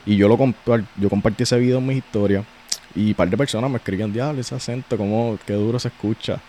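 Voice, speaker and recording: A man with a Puerto Rican accent hosting a podcast, chatting casually in a slangy, relaxed way with frequent restarts. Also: lowish voice, quick pace, slight background noise. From Puerto Rico. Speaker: podcast host.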